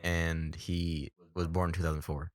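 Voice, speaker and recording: A man's voice: deep voice, little pitch movement, normal speed, no room sound, studio quality. A young man co-hosting a podcast, musing in laid-back casual chat. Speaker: podcast co-host.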